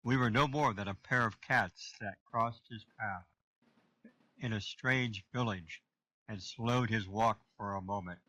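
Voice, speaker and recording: A man's voice: lowish voice, a little slow, bright, forceful tone, no echo, poor audio. An actor reading the part of a rueful young man in a radio play.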